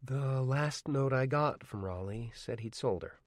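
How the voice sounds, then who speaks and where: A man's voice: mid-range voice, no room sound, pitched above the performer's own voice. A man doing a dry, guarded older teacher. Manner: slow, measured, formal. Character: weary older male schoolteacher, dry, guarded.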